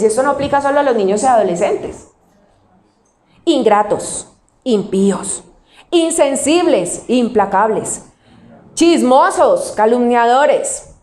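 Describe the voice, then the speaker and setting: A woman pastor from Colombia with a Cali accent, preaching a sermon. She puts questions to the congregation and answers them herself, and she slows down to stress single words. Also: a little high, a little mellow, echoey room. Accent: Cali Colombian Spanish.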